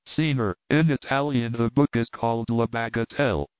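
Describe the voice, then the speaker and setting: A man's voice: medium pitch, moderate pace, no room sound, natural voice. A man reading as a matter-of-fact translator. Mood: plain. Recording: tinny phone-like sound, dry.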